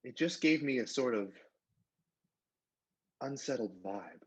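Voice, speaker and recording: A man calling in to a phone-in show, chatting casually in a halting, tentative way. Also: medium pitch, expressive, slightly rough. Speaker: phone-in caller. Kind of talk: hesitant casual phone chat.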